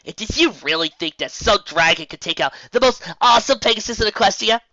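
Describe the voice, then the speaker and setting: A reader voicing a brash, boastful female pegasus pony in an audiobook reading, with a cocky, loud manner. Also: medium pitch, expressive, quick pace, no room sound, dull audio, pitched above the performer's own voice.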